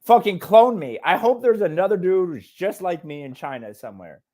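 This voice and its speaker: A man's voice: high voice, expressive, fairly quick, warm tone. A man co-hosting a casual podcast, talking bluntly and with heavy swearing as he rants. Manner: blunt, profane, fired-up ranting. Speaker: podcast co-host.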